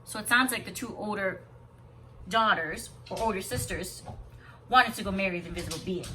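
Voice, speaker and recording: A woman reading a storybook aloud as a teacher of young children, expressive and deliberate, pausing to explain simply. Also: mid-range voice, slight background noise. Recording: voice somewhat distant from the mic.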